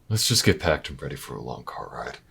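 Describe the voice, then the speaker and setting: An actor playing a weary, wry, protective investigator in an intimate audio drama, low-key and dry, with hesitant pauses. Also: male voice, bright, forceful tone, exaggerated voice. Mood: weary resolve. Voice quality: very deep, gravelly, husky. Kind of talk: audio roleplay acting, intimate and naturalistic.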